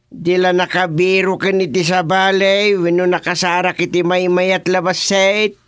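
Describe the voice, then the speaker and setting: A man's voice: high voice, flat delivery, a little slow, fairly bright tone, clear, resonant tone, dry recording, studio quality. A man playing a bemused, joking village chairman in a comedic radio drama, drawling and reacting with interjections. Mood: chiding, teasing.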